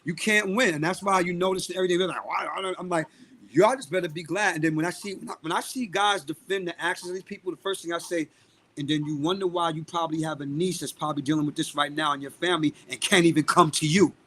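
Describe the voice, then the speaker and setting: A man with a Brooklyn accent talks in a podcast interview. His voice sits a bit on the high side. He is outspoken and emphatic, hammering points home by repeating phrases. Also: fast talker, fairly bright tone, a little hiss or hum. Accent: Brooklyn, New York. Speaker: guest, singer and music producer. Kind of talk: live podcast interview talk.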